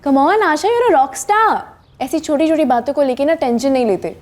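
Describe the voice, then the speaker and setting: An actress playing a caring young woman in a TV crime serial, expressive and mixing English into her talk. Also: sits high, moderate pace. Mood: playful, affectionate. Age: young adult. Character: caring, anxious young woman worried about her roommate.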